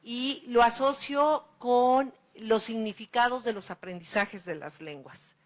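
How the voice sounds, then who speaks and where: A woman in the audience, asking a lecturer a question and building her point slowly and thoughtfully. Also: a little high.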